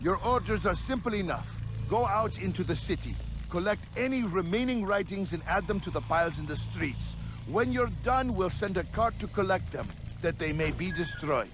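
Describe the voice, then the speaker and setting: An actor playing a proud, scornful book-burning zealot in a video game, declaiming like a preacher. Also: male voice, sits high, expressive, moderate pace, music in the background. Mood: commanding, threatening. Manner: declamatory, preaching, rhetorical questions. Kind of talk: dramatic video game acting.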